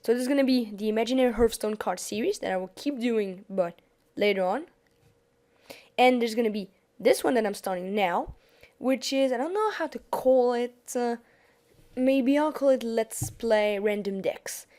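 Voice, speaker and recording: A woman's voice: fairly high pitch, moderate pace, darkish tone. Chatty French-accented young woman gamer. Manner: chatty, restarts often, apologetic. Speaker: gaming YouTuber. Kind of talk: casual gameplay commentary over screen capture.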